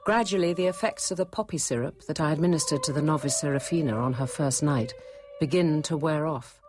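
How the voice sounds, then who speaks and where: An actress playing a watchful, compassionate middle-aged nun, narrating her story in a measured, intimate radio drama style. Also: sits low, lightly acted. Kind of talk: scripted first-person radio drama narration. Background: music.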